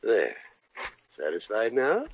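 An actor playing a pompous, lecherous older American judge, blustery and easily flustered, with a gravelly voice in old-time radio comedy. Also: male voice, on the low side, wildly swooping pitch, slow pace, heavily acted. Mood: flustered, grudging. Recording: muffled old radio recording.

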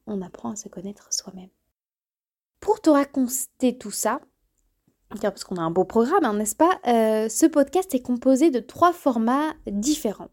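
A woman in her early thirties hosting her podcast, speaking straight to the listener and sometimes correcting herself mid-sentence. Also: a little high. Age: 30s. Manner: warm, addresses listener directly, self-correcting. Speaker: podcast host. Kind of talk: solo podcast trailer monologue.

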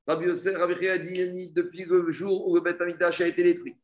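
Casting: male religious teacher, high voice, didactic question-and-answer delivery, echoey room. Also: little pitch movement, a little fast. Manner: didactic, asks and answers own questions, repeats phrases. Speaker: religious teacher giving a Talmud class. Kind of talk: explanatory Talmud lesson, reading a text and translating it.

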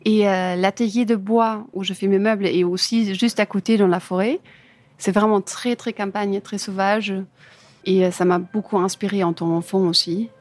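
A woman's voice: mid-range voice, fairly even intonation, moderate pace, a little mellow. A woman from Germany with a German accent, a native German speaker answering interview questions casually in imperfect French, often searching for words. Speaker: interview guest, furniture designer. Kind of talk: casual interview answers about own career.

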